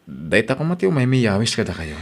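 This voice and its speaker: A man's voice: fairly low pitch, very animated, fast. A man acting a younger male friend in a radio drama. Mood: hesitant.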